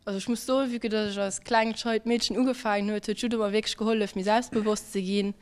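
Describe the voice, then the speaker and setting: A woman's voice: mid-range voice, fairly even intonation, fast talker. A woman, a judo club member answering interview questions in an earnest, straightforward way. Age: 20s.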